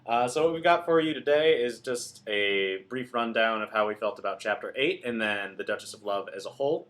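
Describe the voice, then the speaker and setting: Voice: mid-range voice, a little fast, fairly bright tone. A podcast host leading a casual book review chat in a joking, irreverent way.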